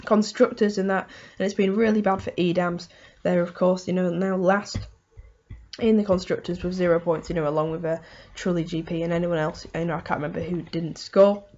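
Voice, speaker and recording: A woman with a hoarse voice from a cold, hosting a casual motorsport race review and rambling through it with lots of "um" and "you know". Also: on the low side, fairly even intonation, a little fast, warm tone, lo-fi sound. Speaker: motorsport video host.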